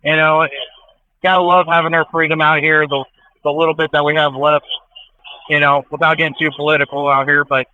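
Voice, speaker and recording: An American man, a trucker guest, chatting in a folksy, measured, rambling way over a remote call. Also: fairly high pitch, flat delivery, clear, resonant tone, no room sound. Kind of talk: casual chat, parting remarks. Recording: thin phone-like radio line.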